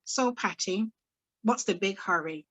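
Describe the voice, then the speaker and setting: A woman voicing a hot-tempered older Barbadian mother with a Barbadian accent, firing rapid, exasperated questions full of old-fashioned sayings. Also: medium pitch, bright, forceful tone, no echo.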